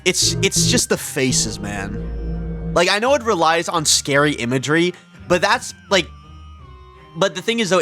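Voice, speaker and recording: A man doing casual reaction commentary in an informal, slangy way, reading captions aloud and breaking in with exclamations. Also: mid-range voice. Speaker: reaction video host. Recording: loud music under the voice. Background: loud music.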